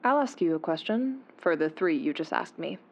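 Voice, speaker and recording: Guarded informant on muffled phone. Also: female voice, low voice, expressive, normal speed. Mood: coolly teasing. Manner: controlled, terse, teasing. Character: guarded, sly anonymous female informant. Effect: phone-call filter. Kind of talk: audio drama, phone conversation. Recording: dull muffled phone line.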